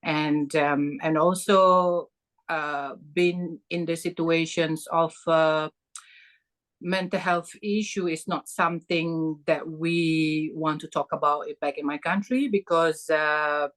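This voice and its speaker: Slow, low-voiced Malaysian woman. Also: fairly even intonation, a bit bright. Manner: halting, many fillers and restarts. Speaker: podcast guest. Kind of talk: personal story in an interview.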